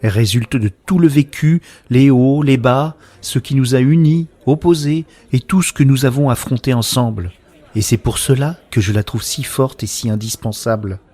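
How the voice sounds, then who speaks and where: Actor as a principled male sceptic, mid-pitched, deliberate, grave, reproachful, scripted sci-fi radio drama. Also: mellow, lightly acted. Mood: wounded, passionate. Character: Abel, sceptical passionate man guarding privacy and real feeling. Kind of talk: scripted science-fiction audio drama dialogue.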